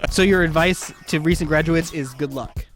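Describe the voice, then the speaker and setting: A man's voice: a little high, normal speed, faint music behind. A man hosting casual street interviews with playful, teasing banter.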